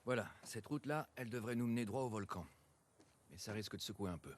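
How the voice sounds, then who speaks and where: A man's voice: fairly low pitch, quick pace, slight background noise, lightly acted. An actor playing a wry, upbeat male adventurer in video game cutscene dialogue.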